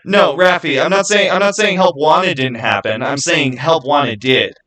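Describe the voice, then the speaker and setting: A man's voice: a little high, moderate pace, pushed and bright. A man hosting a casual livestream, rambling and thinking aloud as he answers viewers by name. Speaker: livestream host.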